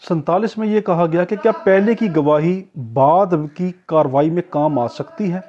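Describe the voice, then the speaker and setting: A man's voice: on the high side, normal speed, dull audio. A Pakistani man giving an informal lecture as a tutor, explaining step by step in a didactic, repetitive way, often asking questions and answering them himself. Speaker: law tutor. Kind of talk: informal exam-prep lecture, explaining clause by clause.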